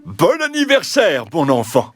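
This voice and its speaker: A man's voice: fairly high pitch, wildly swooping pitch, unhurried, over music. A man playing a firm, fatherly king in a children's storybook cartoon. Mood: celebratory.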